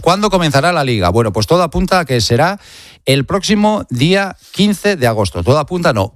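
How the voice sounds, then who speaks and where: A man's voice: medium pitch, dry recording, studio quality. A man with a Spain Spanish accent presenting sports news as a radio host, emphatic and conversational, with rhetorical questions and asides.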